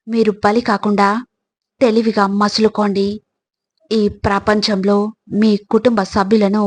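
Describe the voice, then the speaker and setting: A woman with a mid-range voice reads a motivational script very slowly and evenly, with little rise and fall. Her delivery is calm and measured, and now and then she turns to the listener with a rhetorical question. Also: mid-range voice, husky. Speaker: motivational speaker. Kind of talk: slow scripted motivational narration.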